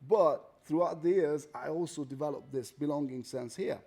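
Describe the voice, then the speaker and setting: A man's voice: on the high side, moderate pace, mellow, breathy edge. A man in his thirties from Hungary, speaking in a conversational way and often checking in with "right?" and "you know". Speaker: guest artist.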